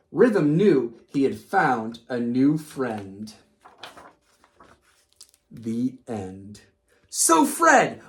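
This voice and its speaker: A woman hosting a children's read-aloud, narrating a story expressively and pausing for simple lessons. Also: low voice. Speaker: children's story reader and host.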